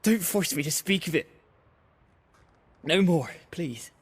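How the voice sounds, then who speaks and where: A plain village fisherman from a fantasy game, haunted by lost love. His voice sits fairly high for a man. He talks in halting, rustic, emotional phrases. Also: lively intonation, slightly rough, full character voice. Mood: distraught. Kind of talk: dramatic video game voice acting.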